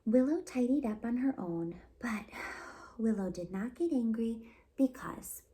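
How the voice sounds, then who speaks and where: A woman hosting storytime, reading a children's picture book aloud in an expressive, deliberate storytelling delivery. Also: a little high. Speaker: storytime host and reader.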